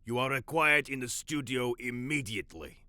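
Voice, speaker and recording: A very sharp, forceful man's voice at medium pitch, that of a curt studio boss in an audio drama. He is impatient and commanding, with clipped phrasing. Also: moderate pace, no echo. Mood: stern, impatient.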